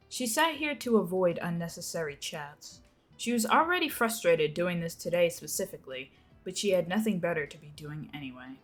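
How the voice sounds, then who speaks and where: A young woman narrating a dramatic reading of a fan-fiction story. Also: on the low side. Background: music.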